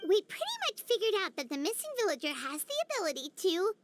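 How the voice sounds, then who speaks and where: Very high, childlike little fairy sidekick. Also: female voice, very animated, fast talker, background noise, heavily acted. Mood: eager, concerned. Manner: exclamatory, chirpy, speaks of herself in third person. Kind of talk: animated video game voice acting.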